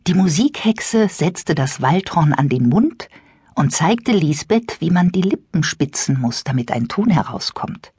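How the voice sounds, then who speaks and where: A woman narrating a scripted children's audiobook with expressive, careful storytelling. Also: deep voice, measured pace, a little hiss or hum. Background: musical instruments and music.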